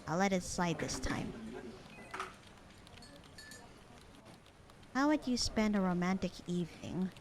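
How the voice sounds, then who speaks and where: A woman acting a low-voiced, bored sarcastic secretary. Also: brisk, crowd noise, close to an everyday voice. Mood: sarcastic, teasing. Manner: deadpan, dry, teasing. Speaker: voice actor in audio roleplay. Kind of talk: ASMR roleplay acting, casual one-sided conversation.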